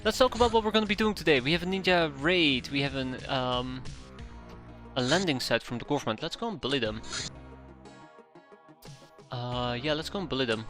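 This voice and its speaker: A man's voice: mid-range voice, expressive, brisk, pauses often, a bit bright, soft background music. A man with a Dutch accent streaming a strategy game from home, chatting casually with viewers and often correcting himself. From Netherlands. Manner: rambling, self-correcting, chuckling asides. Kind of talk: casual game commentary to chat. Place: home room.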